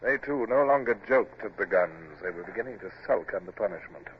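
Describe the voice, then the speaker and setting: A man's voice: on the low side, animated, fairly quick, slight background noise, close to an everyday voice. An actor playing a weary naval captain, narrating his battle in the first person for a radio drama. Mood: sombre, weary. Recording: dull old radio drama recording.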